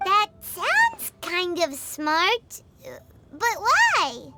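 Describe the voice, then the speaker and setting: A woman's voice: very high-pitched, huge pitch swings, drawn-out words, loud music behind the voice, full character voice. A woman voicing an earnest, humble teenage girl in an anime-style video game. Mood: curious, friendly. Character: earnest, bubbly teenage girl trainee ranger, sincere and humble.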